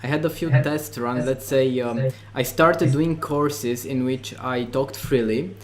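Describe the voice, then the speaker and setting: A man's voice: medium pitch, moderate pace. Hesitant man with a Romanian accent. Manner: hesitant, many fillers and restarts. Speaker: online course creator. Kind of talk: interview conversation.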